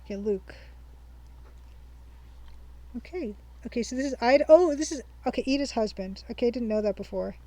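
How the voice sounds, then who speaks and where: A young woman hosting a game playthrough, her voice sitting a little high as she chats casually. She thinks aloud and reads her notes back slowly. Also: dark tone, dry recording. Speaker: let's-play host. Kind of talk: casual let's-play commentary.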